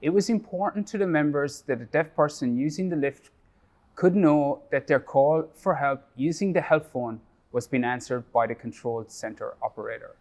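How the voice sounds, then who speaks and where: A man presenting a project update in a measured, scripted way, reading prepared remarks. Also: a little high, a little mellow.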